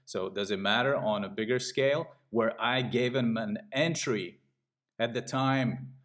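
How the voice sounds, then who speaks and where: A middle-aged man from Latvia giving a casual sales pitch while walking through trading charts. He talks in a pushy way and keeps checking in with "okay?". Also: unhurried, a bit bright. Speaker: trading channel host.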